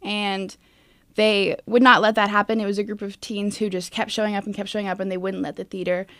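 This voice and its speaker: A woman's voice: medium pitch, level tone, a little fast, fairly bright tone, no echo. A woman being interviewed, giving casual, plainspoken explanations as a guest. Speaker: interview guest, theater youth board leader.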